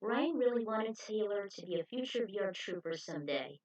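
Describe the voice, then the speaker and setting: A woman's voice: medium pitch, lively intonation, normal speed, darkish tone, dull audio. A woman reading her own fan fiction story aloud as its author and narrator.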